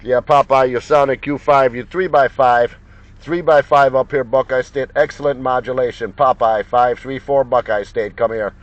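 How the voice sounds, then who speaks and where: A man's voice: medium pitch, steady tone, normal speed, noisy background. A man making CB radio contacts, repeating names and call signs in chatty operator patter. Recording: dull, muffled lo-fi sound.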